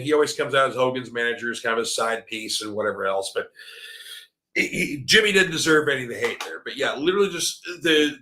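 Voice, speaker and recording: A man's voice: mid-range voice, bright, forceful tone. A middle-aged man hosting a live-streamed wrestling talk show, rambling and opinionated with lots of fillers, his voice slightly scratchy from a cold. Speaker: wrestling podcast host.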